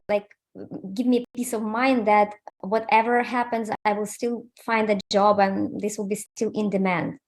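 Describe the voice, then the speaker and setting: Woman in her 30s, slightly high pitch, hesitant and candid interview guest on a call. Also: a little mellow. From Ukraine. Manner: hesitant, many fillers, plain and candid. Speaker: interview guest, QA automation engineer. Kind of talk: casual interview over video call.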